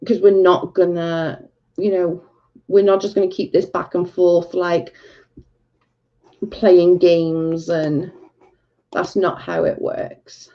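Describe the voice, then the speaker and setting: Welsh female streamer, fed-up and blunt, slightly low and raspy, muffled stream audio. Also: level tone, slow, long pauses. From Wales. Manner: blunt and exasperated, talking to chat. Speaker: livestream host. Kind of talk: casual livestream chat.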